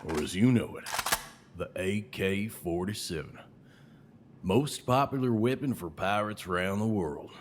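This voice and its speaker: A man's voice: lowish voice, animated, a little slow, a little hiss or hum. An actor playing a cocky, menacing salesman and trained killer in an audio drama. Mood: cocky, relishing. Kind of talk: podcast audio drama acting.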